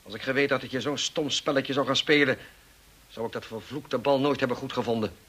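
A harsh, commanding husband. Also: male voice, medium pitch, steady tone, brisk. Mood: furious. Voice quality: forceful, rough. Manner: barking, commanding. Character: stern, hot-tempered upper-class husband, commanding and furious. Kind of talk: radio drama acting.

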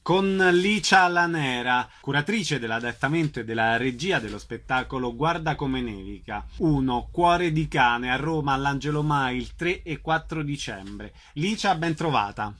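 A man's voice: mid-range voice, animated, normal speed, few pauses, a bit bright, soft background music. A man hosting a radio programme, interviewing guests and announcing events.

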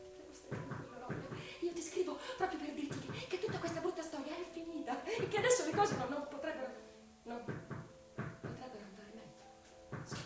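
A devoted young sister pours out a letter in a radio play. She is halting and intensely emotional, repeating her words. The voice is high, distant and very echoey, with music behind it. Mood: excited, relieved. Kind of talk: theatrical radio drama monologue.

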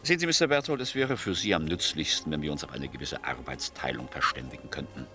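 An actor in a German radio play voicing a suave, menacing American oil boss with an urbane, mock-polite, hesitant way of talking. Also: male voice, a bass voice, expressive, fast talker, bright, forceful tone, full character voice. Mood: smugly menacing. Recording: studio radio play with background music.

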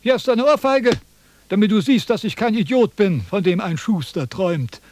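An actor in a radio play playing a pompous, quarrelsome Chinese philosopher who lectures and declaims theatrically. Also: male voice, high voice, expressive, normal speed, a little mellow, slight background noise. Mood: indignant. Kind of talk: German radio play acting.

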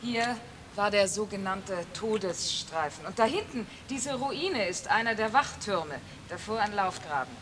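An actress playing an eager, indignant German conservationist who guides a visitor. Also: female voice, medium pitch, lively intonation, unhurried, a bit bright. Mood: insistent. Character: zealous German conservationist woman, insistent. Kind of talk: 1980s radio play acting. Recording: outdoor noise behind.

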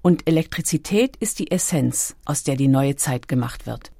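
A woman's voice: deep voice, expressive, moderate pace, gravelly, warm tone, slightly rough, no echo, studio quality. A woman reading scripted documentary narration as the narrator.

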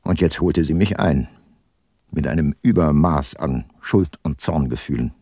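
An actor playing a weary, guilt-laden ageing private detective and former prosecutor in a radio play, sober and reflective. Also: male voice, extremely low pitch, unhurried, dark tone, dry recording, studio quality, heavily acted. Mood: remorseful, reflective. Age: older. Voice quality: husky. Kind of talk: first-person radio play narration and dialogue.

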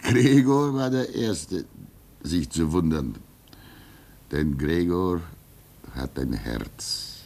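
A man acting in a German radio play as a gentle, resigned old hermit, fatherly and reflective, with halting speech that swings between emphasis and hush. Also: low voice, lively intonation, creaky, exaggerated voice. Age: older.

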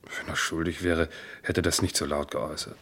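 An actor playing a matter-of-fact police assistant giving reports in a German radio crime drama. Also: male voice, a bass voice, little pitch movement, moderate pace, fairly bright tone, slightly rough, exaggerated voice. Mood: businesslike.

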